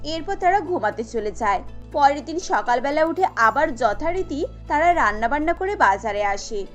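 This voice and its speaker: High-pitched woman narrating a story. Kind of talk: scripted cartoon story narration.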